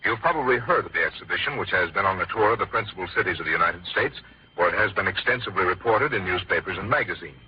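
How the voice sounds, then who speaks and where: Polished old-time radio announcer, muffled. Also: male voice, low voice, expressive, fast talker. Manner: polished, formal, promotional. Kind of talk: scripted 1950s radio announcing. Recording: muffled vintage radio broadcast.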